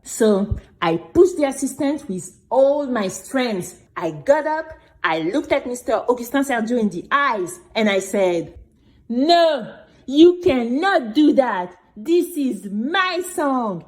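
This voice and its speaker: A woman playing a brave young girl who tells her own story in an expressive, exclamatory way for a children's audio drama. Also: fairly high pitch. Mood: indignant. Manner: expressive, exclamatory, with drawn-out emphatic words.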